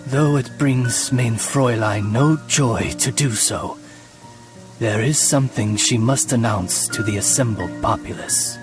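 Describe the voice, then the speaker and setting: A man's voice: medium pitch, unhurried, lightly acted. A man voicing a formal, loyal raven servant in a video game, with courtly, ornate diction. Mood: solemn, dignified. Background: game music.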